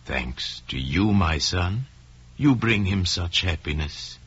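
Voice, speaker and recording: A man playing a kindly, fatherly village priest who speaks gently and earnestly in an old-time radio drama. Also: sits low, lively intonation, slow, slightly rough, highs cut off, heavily acted. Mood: warm, reassuring.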